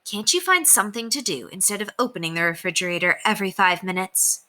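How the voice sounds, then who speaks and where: A woman's voice: medium pitch, fast, bright, forceful tone, close to an everyday voice. A woman voicing a busy, kindly but mildly exasperated mother in a storybook, chiding with emphasis. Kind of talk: children's storybook character voice.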